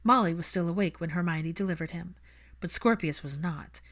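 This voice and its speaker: A woman's voice: lowish voice, moderate pace, a bit bright. A woman reading fiction aloud as an audiobook narrator, in a scripted storytelling style.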